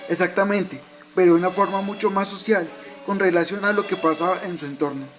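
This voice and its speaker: A man's voice: high-pitched, steady tone, a little fast, fairly bright tone, faint music behind. A man playing a patient, instructive dead father in an amateur radio drama, explaining things to his son.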